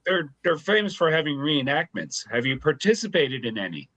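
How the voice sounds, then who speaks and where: A man's voice: fairly high pitch, sing-song, moderate pace, a bit bright. A man hosting a podcast interview, asking loose, rambling questions in a casual way. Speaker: podcast host.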